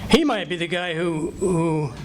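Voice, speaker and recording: A man's voice: fairly high pitch, measured pace, lightly acted. A man playing a flustered, evasive, folksy husband who stammers and blusters in 1940s-style radio comedy, performed live on stage. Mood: defensive. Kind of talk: 1940s radio comedy acting before an audience. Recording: noisy live stage recording.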